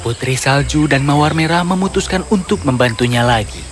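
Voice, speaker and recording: A man's voice: fairly high pitch, animated, brisk, over loud music. A man narrating a scripted children's fairy tale as a storyteller.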